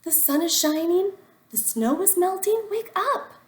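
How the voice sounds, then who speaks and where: A woman voicing an eager, cheerful male frog in a children's storybook, calling out and coaxing brightly. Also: extremely high pitch, expressive, a little slow, reverberant, voice pushed up. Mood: excited calling. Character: eager, cheerful young male frog friend, excitable and pleading. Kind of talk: children's storybook read-aloud.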